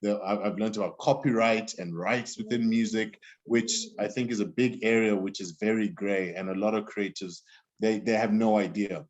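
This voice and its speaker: A man's voice: on the low side, fairly even intonation, moderate pace. A man from Zimbabwe, a guest in his thirties, talking casually in an interview and often restarting his sentences with fillers. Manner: rambling, many restarts and fillers. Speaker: interview guest, music executive. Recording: dull lo-fi sound.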